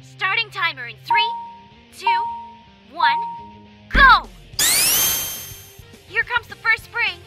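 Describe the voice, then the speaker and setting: An eager young fox boy, as a performer voices him explains his training course in a very high, lively voice. Music plays clearly behind him throughout. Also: slow pace, long pauses, no echo, clean studio sound, heavily acted. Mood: instructive. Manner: eager, instructive. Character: young male fox, helpful gadget-minded trainer. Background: background music.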